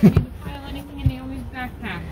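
A woman's voice: sits high, quick pace. A woman exploring a barn with friends, chatting playfully from a little way off the camera. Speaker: fellow explorer. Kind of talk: casual chat. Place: inside an abandoned barn.

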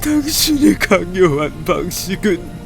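A man voicing a bitter young Joseon crown prince pleading with his father in a historical audio drama, slow and resentful. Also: high-pitched, very animated, gravelly, heavily acted. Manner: slow, resentful delivery, breaking into pleading cries. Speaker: voice actor. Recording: with music underneath.

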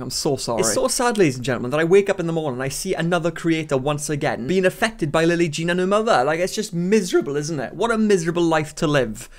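A young man with a British accent, giving sarcastic, exasperated commentary in a vlog from his bedroom studio. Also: a little high. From United Kingdom. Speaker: commentary YouTuber.

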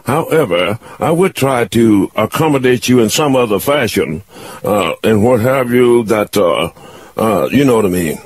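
A man in his sixties hosting a call-in radio show, talking in a rambling, halting way with many fillers. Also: on the low side, expressive, slightly rough, no room sound. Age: 60s. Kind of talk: casual call-in chat.

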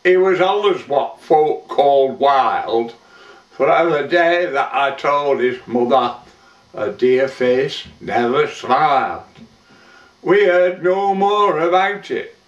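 Man performing old lifeboatman, unhurried verse monologue, plain-spoken, sorrowful, dull roomy sound. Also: on the high side, lively intonation. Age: older. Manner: measured, folksy storytelling with rustic dialect. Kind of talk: dramatic verse monologue recitation. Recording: roomy, dull, distant microphone.

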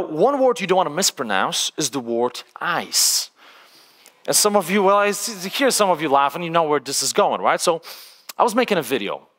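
Polish guy in his 30s giving a stage workshop, bright punchy voice, big hall echo. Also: mid-range voice. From Poland. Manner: energetic, conversational, frequent "right?" tags. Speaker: speaker. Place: large conference stage with audience. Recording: long hall reverb.

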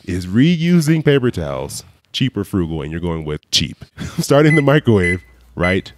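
A man's voice: low-pitched, sing-song, normal speed, a little hiss or hum. A man hosting a podcast, chatting casually and joking with a guest, laughing often. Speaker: podcast host. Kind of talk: casual podcast chat and playful debate.

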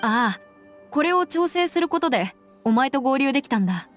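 A woman's voice: high voice, sing-song, normal speed. A woman playing a composed, earnest young female adviser in an anime game, with matter-of-fact, mannish phrasing over background music. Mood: alert.

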